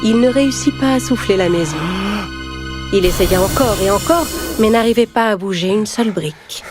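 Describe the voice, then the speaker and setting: A woman's voice: medium pitch, moderate pace. A woman storyteller reading a scripted fairy tale for young children. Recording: music behind the voice.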